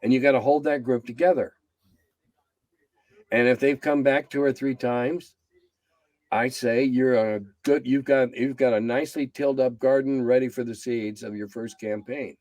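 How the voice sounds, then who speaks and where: A man's voice: steady tone, moderate pace, no echo. Blunt, rambling man in his seventies. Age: 70s. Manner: blunt, rambling, drops low and quiet as he winds down. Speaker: guest, game designer. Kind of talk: casual interview chat.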